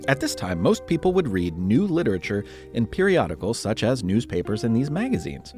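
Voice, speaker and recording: A man's voice: medium pitch, sing-song, a little fast, a little mellow, faint music behind. A man narrating scripted history as a podcast host, in a playful, conversational storytelling way with dramatic emphasis.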